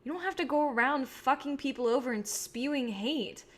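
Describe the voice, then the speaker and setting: A woman playing an earnest, idealistic female filmmaker in a staged play reading, speaking in a sincere, measured way. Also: high voice, lively intonation, fairly bright tone. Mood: hurt, pleading.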